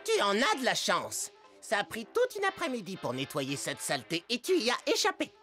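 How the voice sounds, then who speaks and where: A woman voicing a brainy young microbe in a cartoon dub, precise and matter-of-fact yet animated. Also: mid-range voice, moderate pace. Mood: impressed. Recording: music behind the voice.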